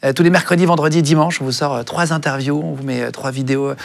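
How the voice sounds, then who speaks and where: Male podcast host, mid-pitched, gravelly, talks fast, friendly and direct. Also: fairly even intonation, slight background noise. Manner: friendly, direct, rapid-fire outro. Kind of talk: interview questions and channel outro.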